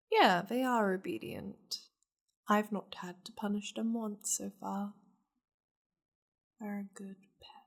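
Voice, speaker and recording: Woman acting a slow, creaky-voiced seductive demon lady. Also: mid-range voice. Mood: fond, teasing. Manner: languid, teasing, possessive. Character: seductive, possessive powerful demon lady doting on her pet. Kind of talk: ASMR roleplay acting.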